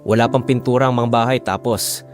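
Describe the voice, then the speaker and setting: A man's voice: mid-range voice, fairly even intonation, a little fast, close to an everyday voice. A man playing a worried, caring father in an audio drama, earnest and plaintive, with music under him. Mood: dismayed. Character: worried, caring family man, disappointed by his new home.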